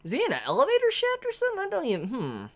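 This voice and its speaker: A woman giving a chatty, excitable live manga reaction while congested from a head cold. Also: extremely high pitch, very fast. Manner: excitable, chatty, exclaims often.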